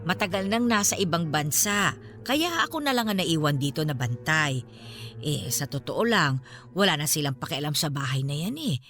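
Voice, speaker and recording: Lively, folksy elderly female caretaker. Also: low voice, normal speed, faint music behind. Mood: plain, obliging. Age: older. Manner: chatty, exclaiming, bashful. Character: elderly woman caretaker of an old house, modest, folksy. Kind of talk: Filipino radio drama acting.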